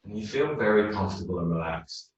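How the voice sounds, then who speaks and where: A man leading a guided meditation in a yoga class, speaking slowly and soothingly. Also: low-pitched, animated, muffled recording. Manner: slow, soothing, unhurried. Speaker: yoga teacher. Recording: very echoey room, mic far off.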